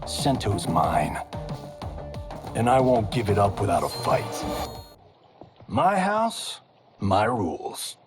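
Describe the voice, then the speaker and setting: A man's voice: on the low side, takes it slowly, pauses often, exaggerated voice. An actor playing a brash, wisecracking male movie star in a video game cutscene. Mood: cocky. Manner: cocky, wisecracking, animated. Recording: game cutscene with music behind.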